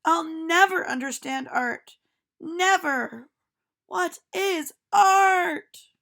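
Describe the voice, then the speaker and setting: An eager little storybook mouse, acted out by a woman, with a high, bright voice. He is excitable and dramatic, and easily discouraged. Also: drawn-out words, vocal fry, full character voice. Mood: despairing. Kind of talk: storybook read-aloud.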